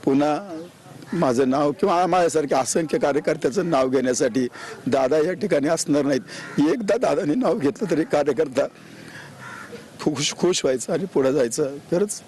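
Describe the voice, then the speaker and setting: A man's voice: fairly high pitch, normal speed, darkish tone. An emotional, grieving man with a Maharashtrian accent, giving interview remarks. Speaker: political party worker.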